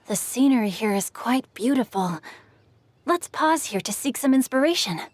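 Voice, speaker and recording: A woman playing a refined, bookish teenage boy in a video game, polite and measured, with a husky voice. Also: a little high, expressive, no room sound. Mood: relaxed.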